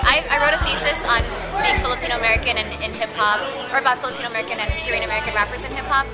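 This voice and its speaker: A young woman in her twenties talks quickly and earnestly in a casual testimonial, working out her thoughts with fillers as she goes. Her voice sits a little high. A loud crowd chatters and music plays behind her. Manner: earnest and talkative, thinking aloud with fillers. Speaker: student club member.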